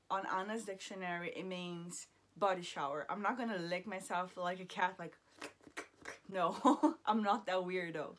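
Chatty, bubbly woman vlogging at home. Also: mid-range voice. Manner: bubbly, laughs often, chatty asides. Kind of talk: casual vlog chat to camera. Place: small room at home, indoors. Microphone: camera held at arm's length.

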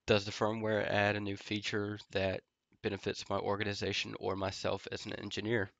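A man's voice: lowish voice, normal speed, creaky, no echo, lo-fi sound. A man with a head cold and a nasal, stuffy voice, casually explaining a tech tutorial with lots of ums while he types commands. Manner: relaxed, filler-heavy explaining while typing commands. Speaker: network engineer tutorial host. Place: home office.

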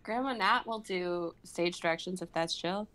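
A woman's voice: on the low side, moderate pace, fairly bright tone. A woman in her twenties chatting casually with friends on a group video call. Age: 20s. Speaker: writer and reader on a live script-reading stream.

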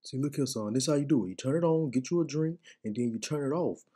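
A man's voice: medium pitch, fast, warm tone. A man performing comedic animal voiceover skits in slangy, swaggering street talk.

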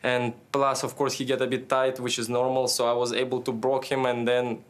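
A man's voice: mid-range voice, completely flat delivery, a little fast. A man in his twenties, a tennis player, talking through a match after it ends, searching for words, restarting sentences and laughing as he goes, heard over a remote link from a press room. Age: 20s. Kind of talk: post-match interview. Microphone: remote video link, head and shoulders.

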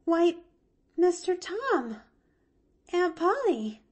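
A woman's voice: very high-pitched, sing-song, extremely slow, pitched above the performer's own voice, heavily acted. A woman reading aloud, voicing an eager, chattering little girl who gushes breathlessly. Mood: eager, reassuring. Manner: breathless, gushing, rapturous.

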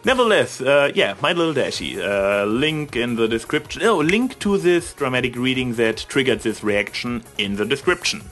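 A man's voice: medium pitch, lively intonation, normal speed, hardly any pauses, a bit bright. Casually rambling man, emphatic, over music. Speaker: vlogger.

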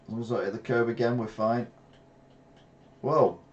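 A middle-aged English man streaming a retro racing game, reacting excitably and trash-talking rivals from a gaming chair at home. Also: mid-range voice, moderate pace, muffled recording. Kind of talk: live gameplay commentary. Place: small room at home, seated in gaming chair.

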